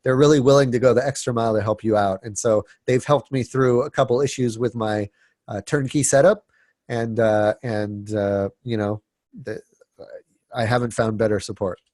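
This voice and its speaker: A man's voice: medium pitch, steady tone, normal speed, a little mellow, no echo, studio quality. A man presenting a webinar, stuffy and sniffling from a cold, talking casually with many fillers and restarts. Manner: filler-heavy, frequent restarts and repeated words.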